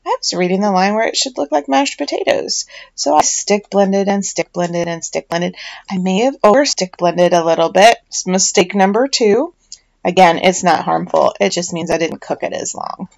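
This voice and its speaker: A middle-aged woman talks through a home project in a casual voiceover. Her voice sits at an ordinary middle pitch, and her chatty, self-deprecating manner comes with small fillers and restarts. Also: normal speed, creaky, a little mellow. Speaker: home soap maker.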